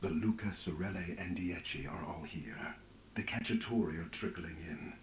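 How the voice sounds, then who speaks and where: A man plays a weary, serious healer in a fantasy game, with an extremely low voice. He speaks with measured urgency in roomy audio with some background noise. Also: close to an everyday voice. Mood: grave, weary. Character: grave, weary male fantasy mage healer. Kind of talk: video game voice acting.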